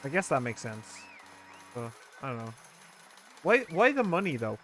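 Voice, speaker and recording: A man's voice: mid-range voice, big pitch swings, moderate pace, fairly bright tone. A man hosting a let's play who chats, jokes and comments casually on the game. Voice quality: chatty and joking, reading game text aloud. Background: game music.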